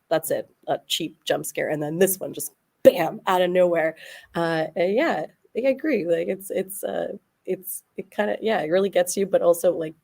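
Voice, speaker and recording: A woman with a low, gravelly voice chats as a guest in an informal debate. She rambles a little and hesitates as she forms her opinions. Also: darkish tone. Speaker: guest panelist. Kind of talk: casual debate about horror films.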